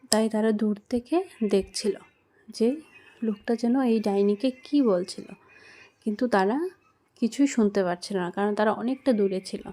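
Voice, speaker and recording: A woman's voice: mid-range voice, warm tone. Female storyteller, mid-range voice, scripted narration for a children's spooky cartoon tale. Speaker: storyteller and channel host.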